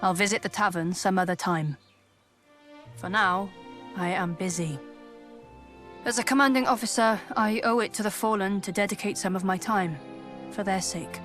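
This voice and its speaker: An actress as a duty-bound female knight commander in a fantasy video game. She speaks in a formal, measured, solemn way at a middle pitch. Prominent music plays behind her. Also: lightly acted. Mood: solemn, burdened. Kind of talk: video game cutscene acting. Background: loud background music.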